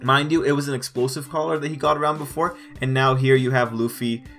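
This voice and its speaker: Male reviewer, mid-pitched, casual emphatic commentary that repeats itself for effect, music underneath. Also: fairly even intonation, fast, a bit bright. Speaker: reviewer of manga chapters. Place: voice-over over still images.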